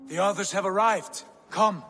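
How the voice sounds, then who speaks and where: High-voiced commanding Viking jarl. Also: male voice, normal speed, pushed and bright. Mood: calm, authoritative. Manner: formal, authoritative. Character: proud commanding Viking jarl, measured and welcoming. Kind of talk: video game acting.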